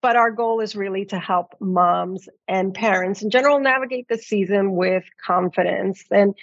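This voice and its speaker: A middle-aged American woman talks as an interview guest. She is articulate and reflective, laying out her thoughts in a conversational way. Her pitch sits in the middle range for a woman. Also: moderate pace, no echo, dull audio. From New York City, United States. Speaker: podcast guest, magazine editor.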